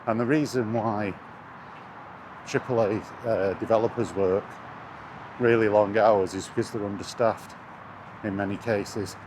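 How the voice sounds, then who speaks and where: A man's voice: fairly low pitch, fairly even intonation, unhurried, pauses often. A British man in a casual, sweary rant, speaking bluntly and scornfully as a gaming vlogger out on a walk. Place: outdoors, walking along near traffic. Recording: outdoor street and vehicle noise.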